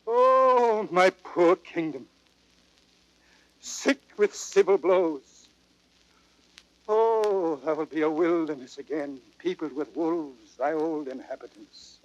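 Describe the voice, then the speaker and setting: Slow, bitter, dying old king. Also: high-pitched, dry recording, low-quality recording. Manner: declamatory, with big swells and drops. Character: dying old king, bitter and grieving over his son. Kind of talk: classical Shakespearean radio drama acting.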